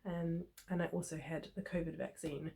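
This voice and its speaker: Female sewing vlogger, New Zealand accent, lower register, a touch hoarse with a cold, informal chatty delivery. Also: fairly even intonation, normal speed, mellow, a little hiss or hum. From New Zealand, Christchurch. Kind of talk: casual sewing tutorial chat.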